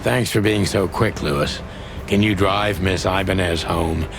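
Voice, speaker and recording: A man playing a world-weary ageing rooster detective, wry and deadpan, narrating a noir game. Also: sits low, unhurried, creaky, background noise. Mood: weary, brooding. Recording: music behind the voice. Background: music.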